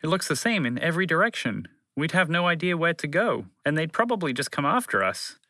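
A man's voice: fairly high pitch, sing-song, brisk, bright, forceful tone, no echo, clean studio sound, voice pushed up, heavily acted. A man voicing a puzzled, sensible male dog who questions things doubtfully in a children's story.

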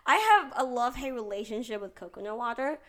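A young adult woman from Taiwan co-hosting a casual chat, speaking in a candid, animated and joking way. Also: a little high, fast. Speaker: co-host.

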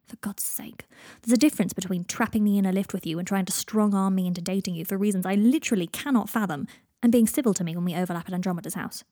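A woman's voice: medium pitch, quick pace, hardly any pauses, mellow, dry recording, studio quality, lightly acted. A woman voicing an exasperated, blunt young man in an audiobook, plain-spoken and weary. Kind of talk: audiobook character dialogue.

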